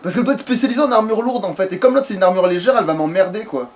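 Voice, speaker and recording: A man's voice: sits high, animated, very fast. A man giving casual, rambling let's play commentary, often swearing coarsely. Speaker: video game streamer.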